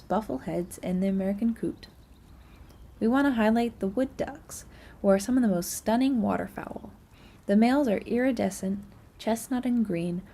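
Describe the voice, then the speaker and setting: A woman reading scripted tour narration in a measured, informative manner. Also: medium pitch, warm tone, breathy edge. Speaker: student narrator of an audio nature tour.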